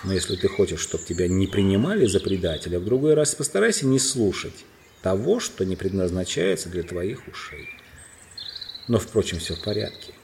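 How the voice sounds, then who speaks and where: A man's voice: sits low, lively intonation, normal speed, darkish tone. Man acting a commanding, formal storybook king. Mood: gracious, admonishing. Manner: formal, admonishing. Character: noble young king, commanding, exasperated but fair.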